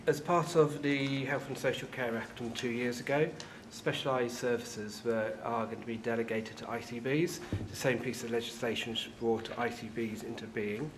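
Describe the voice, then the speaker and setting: A man at a board meeting giving background and introducing a colleague in a measured, explanatory way. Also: mid-range voice, steady tone. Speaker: board member introducing a speaker. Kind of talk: formal meeting talk. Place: meeting room. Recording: noisy meeting room.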